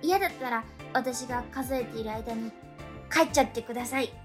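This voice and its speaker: A woman's voice: fairly high pitch, a little fast, faint music behind, natural voice. A woman playing a quirky, earnest, lovestruck schoolgirl with a dramatic way of talking in a radio drama. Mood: shy, dejected. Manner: dramatic, drops musical terms into her talk. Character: quirky, earnest schoolgirl in love with transfer student.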